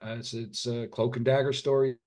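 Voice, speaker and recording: A man's voice: medium pitch, flat delivery, a little fast. Earnest rambling man, author guest. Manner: rambling, earnest, with many restarts. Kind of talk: conversational interview.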